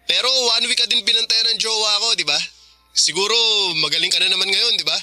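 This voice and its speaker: A man's voice: high voice, steady tone, quick pace, bright, forceful tone, faint music behind. A man playing a cocky, teasing young boyfriend in a Filipino radio drama, smug and jokey.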